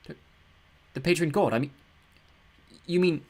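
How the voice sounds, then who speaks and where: A man voicing a frightened but plucky teenage girl who shouts urgent orders. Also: a little high, unhurried, pitched above the performer's own voice. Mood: bewildered.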